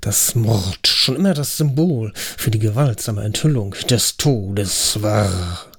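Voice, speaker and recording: A man voicing Dan, a timid, odd young man who talks slowly and softly. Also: lowish voice, slightly rough, exaggerated voice. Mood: worried. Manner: slow, soft and worried.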